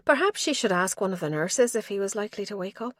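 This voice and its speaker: A woman's voice: mid-range voice, animated, quick pace, no room sound. Woman narrating fiction, dry close sound. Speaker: audiobook narrator. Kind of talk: scripted narration of a mystery novel.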